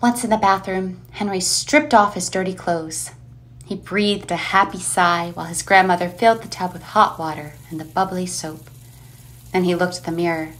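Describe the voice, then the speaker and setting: A woman narrating a scripted horror story in a suspenseful, expressive manner with dramatic pauses. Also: fairly low pitch, a little hiss or hum, poor audio. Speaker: narrator of an audio play.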